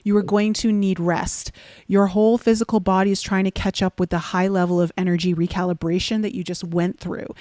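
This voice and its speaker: A woman hosting a conversation, calmly explaining and advising listeners. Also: mid-range voice, a little fast, no echo, studio quality. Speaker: host. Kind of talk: conversational podcast talk.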